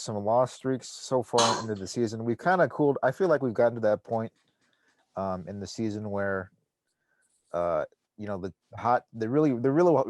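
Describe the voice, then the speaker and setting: A young man co-hosting a casual sports podcast, easygoing and quick to laugh, running through stats loosely. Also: fairly low pitch, dry recording, studio quality. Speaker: podcast co-host.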